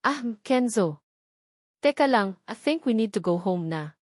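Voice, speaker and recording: A woman's voice: medium pitch, animated, measured pace, a little mellow, dry recording, studio quality. A woman with a Filipino accent voicing a playful, coy fiancée in a narrated romance story, mixing Tagalog and English. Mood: coy protest. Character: young fiancée, playful, coyly protesting to her lover.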